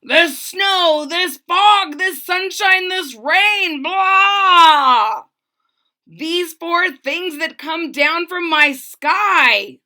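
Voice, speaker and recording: A woman's voice: very high-pitched, extremely slow, bright, forceful tone, pitched above the performer's own voice, full character voice. A woman voicing a grumpy, proud old king in a storybook, growling and imperious. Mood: shouting, angry.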